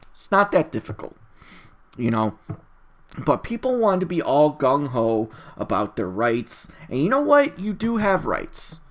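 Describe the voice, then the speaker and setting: A person recording a casual solo audio log, rambling in an opinionated, exasperated and emphatic way with frequent tangents and swearing. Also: medium pitch. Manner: rambling, easily distracted, opinionated.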